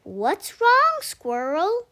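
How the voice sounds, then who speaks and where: A friendly storybook cat read by a woman, high-pitched and full of melodic swings. The delivery is slow, emphatic and encouraging, with music behind. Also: huge pitch swings, bright, forceful tone, heavily acted. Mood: concerned. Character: friendly, encouraging cool cat offering help.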